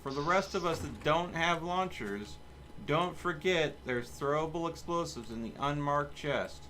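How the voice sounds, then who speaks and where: A man's voice: fairly high pitch, lively intonation, measured pace, pushed and bright. Man hosting a game stream, noisy background. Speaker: livestream host and player. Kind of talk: casual gaming stream chat, giving team instructions.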